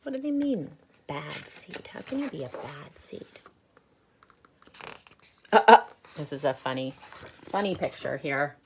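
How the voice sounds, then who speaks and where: Slow-paced woman leading a storytime. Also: deep voice, sing-song, a little hiss or hum. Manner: gentle asides, questioning the listeners. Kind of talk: read-aloud storytime with commentary for children.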